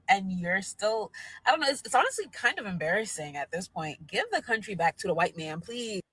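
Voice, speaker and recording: A woman's voice: medium pitch, a bit bright, breathy edge, no room sound, rough recording. A young woman from Nigeria talking casually to camera, loose and chatty and leaning on the word like. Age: young adult. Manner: loose and chatty, heavy use of "like".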